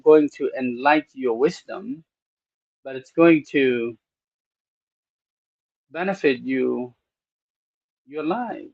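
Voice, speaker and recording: Thai-accented male podcast host, slow and halting, dry, slightly dull sound. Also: a little high, dry recording. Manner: slow, halting with many "uh" pauses. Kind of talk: unscripted podcast talk.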